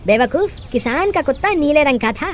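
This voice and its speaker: Excitable, whiny male thief's sidekick for animated tale, female performer; high-pitched and swooping. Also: fairly quick, a little hiss or hum. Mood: scornful, scheming. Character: jittery, greedy village thief sidekick, a man. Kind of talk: animated storybook dialogue.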